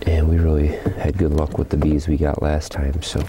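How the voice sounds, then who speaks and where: A man casually narrating as he cleans out an old hive outdoors, thinking aloud with small pauses. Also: very deep voice, monotone, heavy background noise. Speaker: hobby beekeeper and channel host. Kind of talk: casual narration while working. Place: outdoors beside a wooden beehive.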